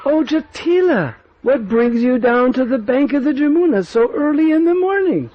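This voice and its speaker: A man's voice: extremely high pitch, expressive, moderate pace, no room sound, voice pushed up. A man voicing a sly young woman who teasingly greets a suspicious visitor. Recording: dull dry recording.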